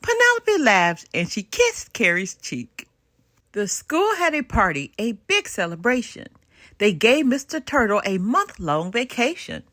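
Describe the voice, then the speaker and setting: An older woman reading a children's storybook aloud in an expressive, sing-song way. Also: a little high, no echo, clean studio sound. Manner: animated, unhurried sing-song rhyming delivery. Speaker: storybook reader.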